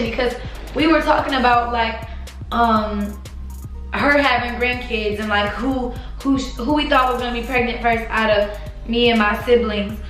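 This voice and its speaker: A woman's voice: fairly high pitch, a bit bright, heavy reverb, low-quality recording. Sleepy young woman telling a story. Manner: chatty, confiding, addresses viewers as y'all. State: sleepy late-night voice. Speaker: vlogger. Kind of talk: casual storytime.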